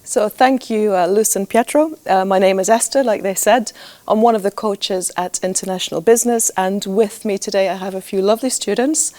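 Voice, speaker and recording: A woman's voice: medium pitch, brisk, darkish tone. A woman coach hosting a round-table talk with students, asking questions with many small fillers. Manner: friendly, prompting questions with fillers. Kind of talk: moderating a round-table discussion.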